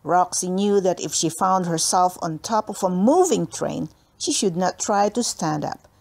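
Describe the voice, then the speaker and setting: A woman reading a children's book aloud as an expressive storyteller. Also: lowish voice, a little slow, gravelly. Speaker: audiobook reader.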